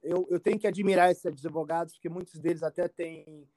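A man with a Brazilian accent answering informally as an expert, halting and repetitive, often checking he is understood. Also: on the high side, fairly even intonation, darkish tone, no room sound. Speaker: immigration expert being interviewed. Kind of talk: informal explanatory interview answers.

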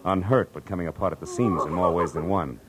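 A man's voice: deep voice, fast talker, crowd noise, lightly acted. A man acting a terse, wry American private detective in a 1940s radio drama. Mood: grim, measured. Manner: terse, dry, wisecracking. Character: hard-boiled, wry, commanding male private detective. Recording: noisy old radio recording.

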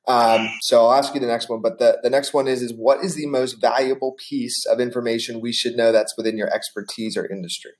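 A man hosts an interview show, reading prepared questions in a relaxed, easygoing way. His voice sits at an ordinary middle pitch and he keeps calling his guest "man". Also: fairly quick, few pauses, poor audio. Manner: casual, says "man" a lot. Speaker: podcast host.

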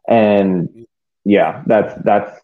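A man's voice: lowish voice, steady tone, very slow. Man on a tinny, phone-like connection. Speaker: sports podcast co-host. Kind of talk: casual soccer match analysis.

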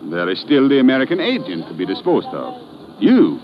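An actor playing an earnest police lieutenant who reasons aloud haltingly in a 1950s radio adventure. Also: male voice, mid-range voice, wildly swooping pitch. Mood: earnest, pondering. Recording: muffled old radio recording, faint noise.

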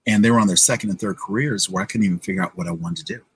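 An American man in his forties with a husky voice, telling his story casually as an interview guest, often checking in with the listener. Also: lowish voice, expressive, rapid-fire, no room sound. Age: 40s.

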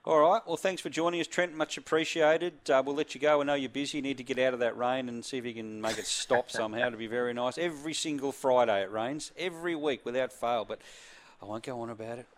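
A man's voice: medium pitch, fast. A man with an Australian accent hosting a radio racing segment, chatty and jokey. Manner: chatty, jokey, laughs easily. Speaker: radio host. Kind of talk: radio sports interview.

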